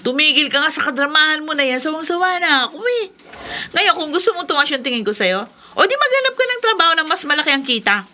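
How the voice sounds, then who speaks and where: A woman's voice: high voice, fast, full character voice. A woman with a Filipino accent playing a haughty, nagging wife in a theatrical radio drama. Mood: scornful, exasperated. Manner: sharp, expressive, theatrical.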